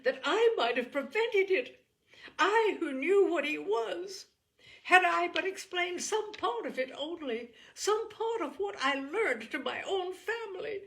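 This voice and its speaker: Woman acting out a distraught, high-pitched young gentlewoman. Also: animated, a little slow, exaggerated voice. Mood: agitated, despairing. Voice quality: breathless, breaking voice. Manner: emphatic, anguished exclamations. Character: distraught young gentlewoman, breathless, agitated, near tears. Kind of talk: dramatised audiobook reading.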